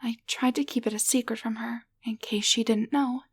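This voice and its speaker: A woman voicing a timid, grateful tiny enchanted doll in an intimate roleplay audio drama, speaking softly, gently and haltingly with a breathy voice. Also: a little high, steady tone. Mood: gentle, earnest. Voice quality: soft, breathy.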